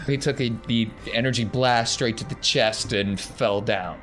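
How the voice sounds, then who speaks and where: A man running a tabletop roleplaying game as game master, narrating off the cuff in a conversational, excitable way. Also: medium pitch, unhurried, soft background music. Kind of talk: improvised game narration, casual banter.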